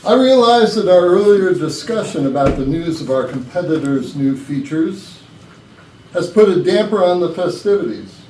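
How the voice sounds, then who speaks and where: An actor playing a self-important, demanding company boss in a comedy play reading, with an authoritative speechifying manner. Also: male voice, sits high, a little mellow. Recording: distant, echoey room with faint noise.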